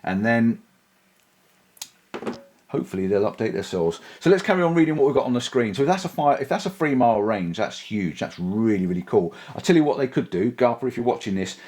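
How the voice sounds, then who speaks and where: A man's voice: mid-range voice, very animated, a little fast, a little mellow. A man casually demoing and testing gear for his vlog, thinking aloud as he goes. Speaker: vlogger reviewing gear. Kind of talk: casual product demo. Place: outdoors on a bike, then indoors.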